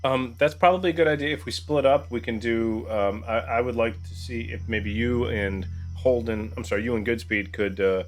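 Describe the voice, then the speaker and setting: A man playing a tabletop game, planning aloud in a casual, halting way. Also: mid-range voice, faint music behind.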